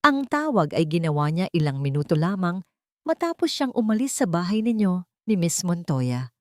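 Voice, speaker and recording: A woman's voice: fairly low pitch, sing-song, moderate pace, darkish tone, no room sound, clean studio sound. A woman voicing a male private investigator who reports politely and respectfully. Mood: matter-of-fact.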